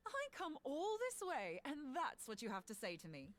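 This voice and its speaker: A woman's voice: high voice, wildly swooping pitch, moderate pace. An actress playing a bold, breezy woman scholar in a fantasy game, with a blunt, playful and informal way of talking. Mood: teasing. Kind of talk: fantasy video game acting.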